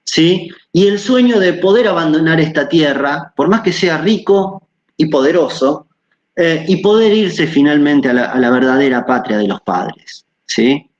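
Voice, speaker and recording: A man's voice: fairly high pitch, measured pace, lo-fi sound. A man giving an academic lecture over a video call, hesitant, with many fillers and tag questions.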